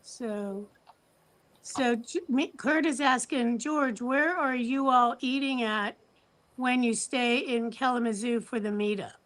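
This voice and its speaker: Woman, American accent, fairly high voice, very unhurried pace, hesitant, casual livestream host. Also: rough recording. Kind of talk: casual live chat.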